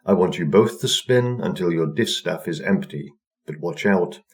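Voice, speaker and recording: Folktale stepmother, male reader: stern, cruel woman giving orders, low-pitched. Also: lively intonation, normal speed.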